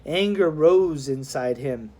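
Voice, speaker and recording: A woman reading a children's historical novel aloud as expressive scripted narration. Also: low voice, slow. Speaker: audiobook reader.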